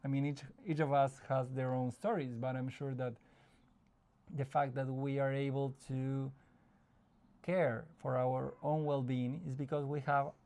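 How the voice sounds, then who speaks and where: A man from Argentina speaking as the guest in a casual interview. His voice sits in an ordinary middle pitch with a gravelly, creaky edge. He talks thoughtfully, pausing and restarting as he searches for the right words. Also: little pitch movement, a little mellow. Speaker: psychologist guest.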